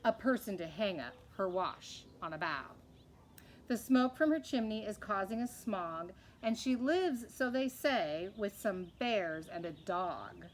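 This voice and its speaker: A woman's voice: medium pitch, animated, measured pace, a little hiss or hum, natural voice. A woman voicing a haughty, bossy mayor's wife from a storybook, scolding and disapproving. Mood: indignant. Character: haughty, indignant small-town mayor's wife, bossy complainer.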